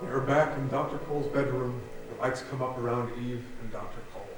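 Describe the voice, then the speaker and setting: A man's voice: mid-range voice, fairly even intonation, fairly quick, loud music behind the voice. A man reading scripted stage directions as the narrator of a staged theatre reading. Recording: very echoey theatre, distant mic, faint noise.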